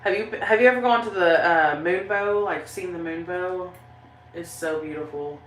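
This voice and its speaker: A woman's voice: on the low side, normal speed, roomy sound, slight background noise. A woman with a Southern Kentucky accent chatting casually on a livestream, rambling with lots of fillers and laughing often. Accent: Southern American (Kentucky). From southeastern Kentucky, USA. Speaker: paranormal channel host reading live chat.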